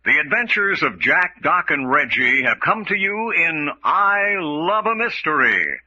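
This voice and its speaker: A man's voice: on the high side, sing-song, a little slow. A man reading dramatic scripted announcements as a radio announcer. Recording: dull lo-fi radio recording. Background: loud organ music.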